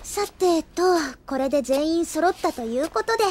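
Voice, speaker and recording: Very high-pitched, headstrong teenage schoolgirl. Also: animated, normal speed, darkish tone. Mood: eager. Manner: forthright, insistent. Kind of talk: Japanese anime audio drama acting.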